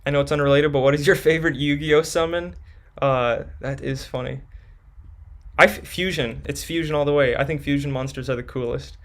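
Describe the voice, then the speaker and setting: A man's voice: on the high side, fairly even intonation, fairly quick, fairly bright tone. A young man hosting a live stream, reading viewers' questions from chat and answering them casually.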